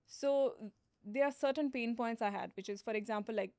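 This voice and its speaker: A woman's voice: fairly high pitch, moderate pace, a little mellow, no echo, studio quality. A woman founder as a podcast guest, explaining steadily with frequent fillers. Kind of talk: conversational interview.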